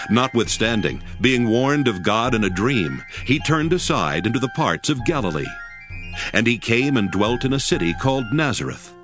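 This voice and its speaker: A man narrating scripture from a script in a solemn, expressive style. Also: mid-range voice. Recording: music behind the narration. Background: music.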